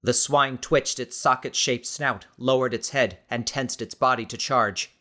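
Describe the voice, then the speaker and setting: A man reading dramatic scripted audiobook narration in a measured, suspenseful manner. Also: medium pitch, fairly even intonation, pushed and bright.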